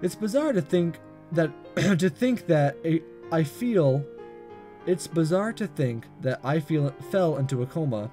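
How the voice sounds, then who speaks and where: Animated higher-voiced man reading aloud. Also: a little slow, faint music behind. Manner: stumbles and rereads lines. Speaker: gaming video host reading a visual novel aloud. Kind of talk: expressive amateur read-aloud narration.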